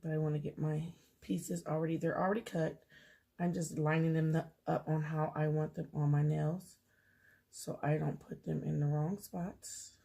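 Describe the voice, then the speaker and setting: A woman whose voice is going hoarse talks her viewers through a nail tutorial in a casual, chatty way. Her voice sits low, with gravel and a husky, breathy edge. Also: flat delivery, moderate pace, mellow. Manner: chatty, talks straight to viewers while working. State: losing her voice. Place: home table, indoors.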